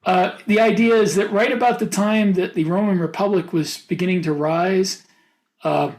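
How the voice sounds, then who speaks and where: A man co-hosting a podcast in casual conversation, often pausing mid-thought as he explains his ideas. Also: high voice, fairly even intonation. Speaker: podcast co-host. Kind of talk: casual panel chat about writing fiction.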